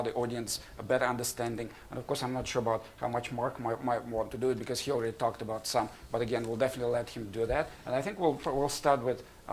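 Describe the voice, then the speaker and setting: A man from Kazakhstan, a marketing professor, moderating a conference panel in a halting way with many fillers. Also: medium pitch, slight background noise. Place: conference room.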